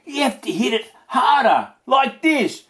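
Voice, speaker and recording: A man's voice: extremely high pitch, sing-song, unhurried, a bit bright, voice pushed up, full character voice. A man voicing a cheerful, encouraging storybook dad, coaxing and emphatic as he teaches.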